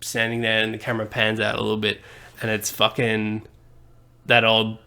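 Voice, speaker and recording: A man talks in a loose, animated way as he co-hosts a podcast. He rambles from thought to thought and swears casually. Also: fairly low pitch, moderate pace, vocal fry, clean tone. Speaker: podcast co-host. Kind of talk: casual chat.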